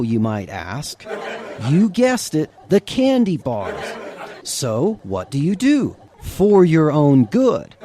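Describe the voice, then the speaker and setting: A man's voice: on the high side, darkish tone. A man delivering a scripted, sarcastic monologue, chuckling and asking the listener rhetorical questions. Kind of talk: scripted monologue with mock outrage.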